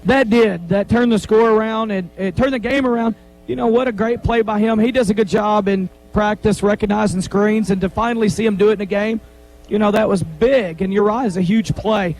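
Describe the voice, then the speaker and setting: A man, a high school football coach, giving a plain-spoken postgame interview on the field and talking through the game's key plays. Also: fairly even intonation, brisk, darkish tone, rough recording. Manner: plain-spoken, rambling, repeats himself. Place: on the field after a game.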